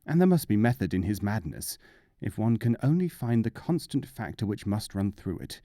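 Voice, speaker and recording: A man's voice: fairly low pitch, very animated, normal speed, warm tone, no echo, studio quality. A man voicing an earnest, self-important scholar seeking wisdom in a storybook, pompous and judging.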